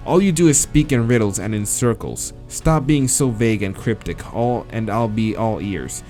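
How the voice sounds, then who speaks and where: A man's voice: fairly low pitch, moderate pace, darkish tone, soft background music, lightly acted. A man voicing a blunt, impatient human man, the casual-spoken protagonist of a visual novel.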